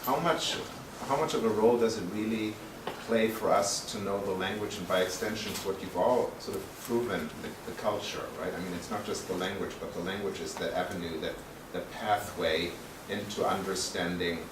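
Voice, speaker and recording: Male panel moderator, lowish voice, informal and discursive, echoey room with noticeable noise. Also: normal speed, hardly any pauses. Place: college panel event room. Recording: echoey room, distant mic, clear background noise.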